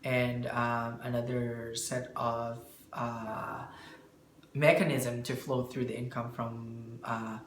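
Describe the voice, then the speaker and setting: Male interviewee, bookkeeper explaining business matters, halting delivery that searches for words, echoey room with faint noise. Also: medium pitch, little pitch movement, fairly bright tone. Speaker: bookkeeper answering questions.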